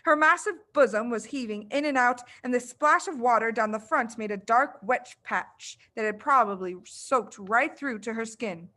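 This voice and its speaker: A woman narrates a children's book in a bright, fairly high voice. She reads dramatically, placing her phrases deliberately to build suspense. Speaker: audiobook reader.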